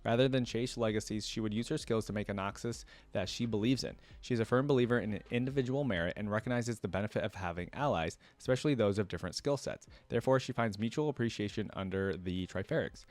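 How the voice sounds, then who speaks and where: A man's voice: fairly low pitch, quick pace, few pauses, no echo. A man hosting a reaction video, reading aloud and breaking into informal, rambling casual commentary. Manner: informal, rambling asides between read passages.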